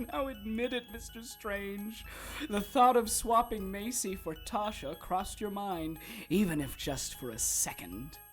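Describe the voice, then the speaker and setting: A woman playing a sardonic, taunting supernatural villain in an audio drama, with a theatrical, drawn-out, mocking delivery. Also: medium pitch, faint music behind, close to an everyday voice. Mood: gleeful taunting.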